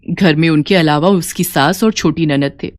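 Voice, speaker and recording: A woman's voice: low voice, moderate pace, no room sound, clean studio sound. A woman reading scripted story narration as the narrator.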